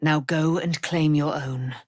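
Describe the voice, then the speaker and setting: A woman's voice: sits low, steady tone, takes it slowly, no room sound, clean studio sound. An actress playing a proud, immortal holy warrior woman in a fantasy game, speaking in grand, solemn, formal phrases. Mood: solemn, regal. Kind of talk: theatrical fantasy game voice acting.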